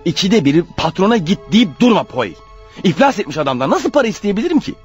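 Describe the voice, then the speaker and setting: Radio drama husband: proud, exasperated, pleading, animated and quick, slightly dull recording. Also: male voice, high-pitched. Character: harried, proud, defensive unemployed husband. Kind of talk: radio theatre acting. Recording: dull lo-fi radio play recording, faint music.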